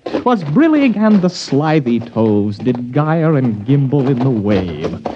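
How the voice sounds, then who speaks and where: A man's voice: mid-range voice, very animated, takes it slowly, noisy background. An actor playing an anxious, stammering city man with an American accent, in theatrical old-time radio drama style. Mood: forced recitation. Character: anxious city man, flustered suitor cornered by a mind-reader. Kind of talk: 1940s-50s American radio drama acting. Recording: dull old radio recording.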